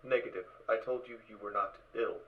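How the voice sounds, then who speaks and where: A man voicing an emotionless suit computer in an audio drama, clipped, formal and deadpan, giving literal status reports. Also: on the low side, brisk, phone-quality sound, natural voice. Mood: flat, matter-of-fact. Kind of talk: fan audio drama acting.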